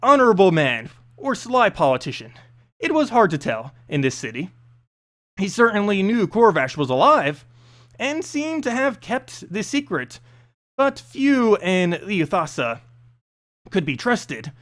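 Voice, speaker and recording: A man reads fantasy fiction aloud as narrator. His voice is high for a man and moves up and down a lot. The delivery is dramatic and expressive, though a little halting. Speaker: narrator reading a story aloud.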